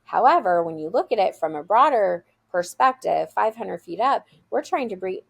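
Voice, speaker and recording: A woman's voice: medium pitch, lively intonation, normal speed, gravelly. A woman, a school founder, answering interview questions in an earnest, emphatic way, often restarting her words. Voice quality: loud voice. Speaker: school founder, podcast guest.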